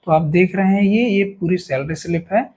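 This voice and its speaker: High-pitched, husky Indian man explaining. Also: moderate pace, a little mellow. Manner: instructive, with frequent check-in tags. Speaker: video presenter explaining police pay. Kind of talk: informal explainer addressed to viewers.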